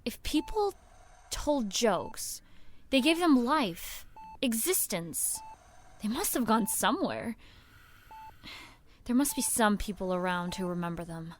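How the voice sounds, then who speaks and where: An actress playing an earnest, stubborn, curious young woman in an audio drama, speaking with quiet determination. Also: on the high side, animated, slow pace, long pauses. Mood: earnest, determined. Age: young adult.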